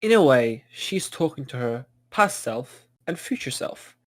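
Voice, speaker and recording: Casual man with mid-pitched voice. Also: very animated, unhurried. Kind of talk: casual chat, commentary.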